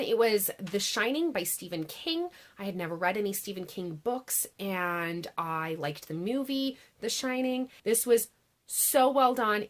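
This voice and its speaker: A woman hosting her own book channel, chatting casually to camera with a raspy, congested voice after a long day of filming, with frequent restarts and "like"s. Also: fairly high pitch. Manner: chatty, restarts sentences, many "like"s. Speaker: BookTube host. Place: home filming setup beside a laptop.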